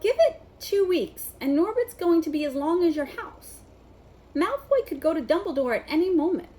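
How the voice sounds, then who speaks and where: A woman's voice: very high voice, animated, moderate pace, warm tone, voice pushed up. A woman reading a storybook as an earnest schoolboy, urgent and persuasive. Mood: insistent.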